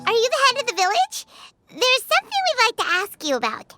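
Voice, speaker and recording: Super high chirpy little sidekick girl, swoops all over, loud game music behind. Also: wildly swooping pitch, full character voice. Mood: cheerful. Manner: eager, quick, sing-song questions. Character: small chirpy floating girl companion, bubbly and curious. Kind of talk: game voice acting.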